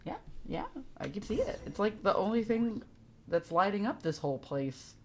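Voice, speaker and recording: A woman's voice: medium pitch, lively intonation, moderate pace, darkish tone, slight background noise. A woman giving casual live commentary as a game streamer, chatty and wry with a sarcastic streak.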